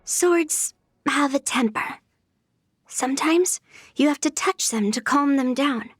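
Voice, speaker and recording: A woman's voice: high-pitched, takes it slowly, no room sound, studio quality. A woman playing a brash, earnest young swordswoman in a game, blunt but girlish, speaking in a declarative, matter-of-fact way. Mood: earnest, instructive. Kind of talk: scripted game character voice lines.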